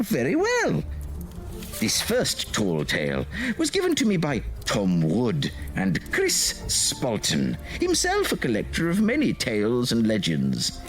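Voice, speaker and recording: A man performs an old teller of tall tales for a comedy podcast. His delivery is hammy and mock-gothic, with doddery asides and extremely animated swings in pitch. Music plays clearly behind him. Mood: grandly inviting. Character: theatrical old male storyteller of tall tales.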